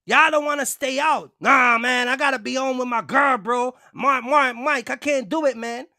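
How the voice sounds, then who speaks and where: Casting: male vlogger, high voice, dry recording, casual streetwise storyteller, emphatic and repetitive. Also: fairly bright tone, no echo. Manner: emphatic, repetitive, addresses listeners directly. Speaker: box truck owner-operator and vlogger.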